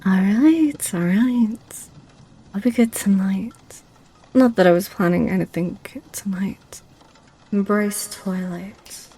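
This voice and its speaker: Succubus, female performer: playful, possessive temptress, whispery and unhurried, dark-toned ASMR roleplay. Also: mid-range voice, slight background noise. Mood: placating. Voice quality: breathy, whispery. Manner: teasing, coaxing, slow.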